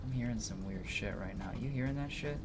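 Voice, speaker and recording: A man's voice: mid-range voice, fast, heavy background noise. A man doing casual let's-play commentary, informal and sweary, reading game dialogue aloud as he plays. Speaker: game streamer.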